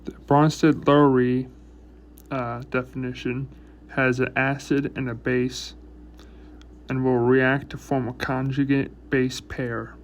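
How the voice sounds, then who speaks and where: Male study-review host, slow and halting, flat delivery, some background noise. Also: medium pitch. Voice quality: speech impediment, trips over long words. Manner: halting, deliberate, choppy phrasing. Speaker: educational video host reviewing math and chemistry. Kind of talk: informal explanatory lesson, part read from notes.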